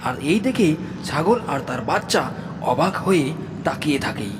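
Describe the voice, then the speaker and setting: A man's voice: fairly high pitch, very animated, unhurried, noisy background. A man narrating a cartoon animal story in a dramatic storybook style. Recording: long hall reverb.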